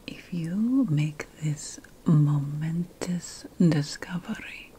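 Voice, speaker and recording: A woman whispers a fantasy roleplay in ASMR style as a playful, self-important witch and potion master, breathy and deliberate, with pauses between phrases. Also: low-pitched, mellow. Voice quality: breathy, mostly unvoiced whisper. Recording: faint background noise.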